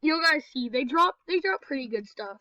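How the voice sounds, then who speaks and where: A woman's voice sits a little high and sounds stuffed up and nasal from a cold, chatting in a loose, rambling way while she plays. The recording is dull and lo-fi, with no room sound at all. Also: lively intonation, normal speed, pushed and bright, no echo. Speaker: gameplay video host. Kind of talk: casual game commentary.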